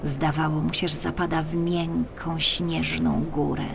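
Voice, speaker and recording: A woman reading a scripted children's story as an audiobook narrator, with expressive, deliberate phrasing. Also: low voice, creaky, background noise. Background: music.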